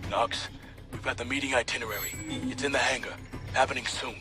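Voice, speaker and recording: A man acts out a brisk, commanding squad leader from a military video game. He keeps his lines clipped and focused, at a middling pitch, with loud music playing over him. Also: fairly even intonation, natural voice. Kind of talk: video game cutscene acting, radio-style military dialogue.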